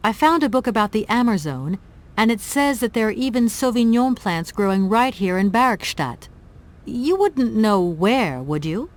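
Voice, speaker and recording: Young female game lead: high, animated voice, courteous, well-spoken, persistent. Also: moderate pace. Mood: courteous, inquisitive. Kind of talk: video game voice acting.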